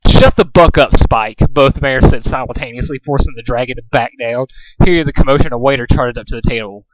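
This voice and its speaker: A man's voice: mid-range voice, fairly even intonation, fast talker, heavy background noise. A man reading a story aloud as an amateur narrator, stumbling over and repeating phrases now and then. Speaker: fan fiction reader, narrator.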